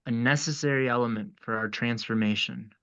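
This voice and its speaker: A man playing a polite, sinister cult son in a horror script read, formal and calmly menacing. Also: mid-range voice, a little fast, smooth, dry recording, lo-fi sound, close to an everyday voice.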